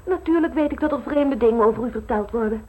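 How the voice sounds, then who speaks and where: A woman's voice: on the high side, fast, narrow, tinny audio, lightly acted. An actress playing a genteel eighteen-year-old society girl in an old radio play, prim and easily flustered. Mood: unsettled, wondering. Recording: old radio recording with clear noise.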